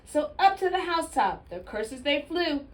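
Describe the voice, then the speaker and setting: A woman with a fairly high voice reads a storybook aloud as a childcare teacher would. Her delivery is expressive and very animated in pitch, aimed straight at small children. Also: moderate pace. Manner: expressive, addresses young listeners directly.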